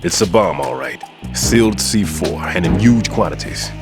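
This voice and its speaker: A man playing a grave, remorseful middle-aged bomb disposal veteran in a video game cutscene, speaking in a solemn, deliberate way. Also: low-pitched, lively intonation. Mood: grave, technical. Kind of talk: dramatic game cutscene acting. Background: background music.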